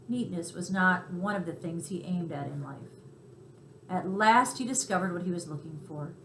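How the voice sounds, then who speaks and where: Bright, very expressive woman reading a story. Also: mid-range voice. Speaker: story reader. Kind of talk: expressive scripted children's story narration. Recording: roomy echo, faint background noise.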